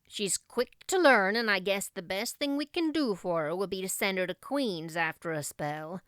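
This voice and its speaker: A no-nonsense older country woman read by a female narrator in a storybook. Her voice is clear and dry, measured and reserved, giving approval grudgingly. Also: medium pitch, fairly bright tone, no room sound, natural voice. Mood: sensible. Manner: measured, matter-of-fact, grudging. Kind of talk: audiobook character voice.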